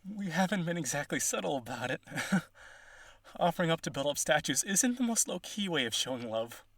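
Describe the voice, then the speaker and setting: A man's voice: fairly high pitch, big pitch swings, fairly quick, a bit bright, dry recording, heavily acted. A man playing a rich, devoted vampire aristocrat in a roleplay audio, earnest and pleading, with gushing, hesitant speech. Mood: shy, pleading.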